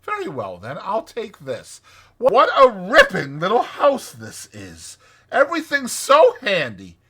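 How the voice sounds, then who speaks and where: Man doing a hearty, high-pitched gentlemanly storybook rat. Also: huge pitch swings, unhurried, husky, full character voice. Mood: delighted. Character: genial, sleepy, gentlemanly water rat, hearty old-chap type.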